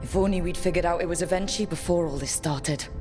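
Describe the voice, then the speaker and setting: An actress playing a resolute, wry adventurer hero in a video game, speaking in a direct, conversational way. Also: female voice, fairly low pitch, fast, close to an everyday voice. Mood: serious. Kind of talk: video game cutscene acting. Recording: music behind the voice.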